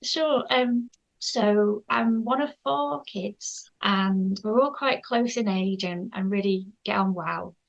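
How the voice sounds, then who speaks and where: Gentle, hesitant woman. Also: mid-range voice, slow pace, creaky, dull audio. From North Yorkshire, England. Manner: shy, gentle, hesitant with many ums. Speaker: guest artist. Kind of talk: casual interview chat.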